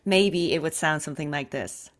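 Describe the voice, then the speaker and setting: A woman hosting a casual, chatty interview as a voice actor, talking in an encouraging, expressive way. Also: low voice, normal speed.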